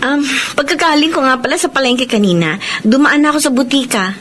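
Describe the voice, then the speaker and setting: A woman's voice: sits high, brisk, fairly bright tone. An actress with a Filipino accent playing a doting, nagging wife in a radio drama, insistent with her reminders. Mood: teasing, doting. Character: doting, nagging wife who fusses over her husband. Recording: faint noise and ambience behind.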